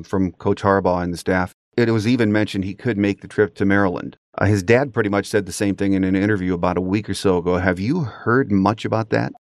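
A man's voice: fairly low pitch, no echo, clean studio sound. A man hosting a sports podcast, setting up questions with football news and figures in a conversational interview style.

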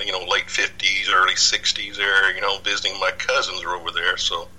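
Guest: older man, low voice, piercing bright tone, rambling casual delivery. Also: poor audio. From Dallas, Texas. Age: 60s. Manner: rambling, many fillers and restarts. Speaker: podcast guest, researcher. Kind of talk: casual interview.

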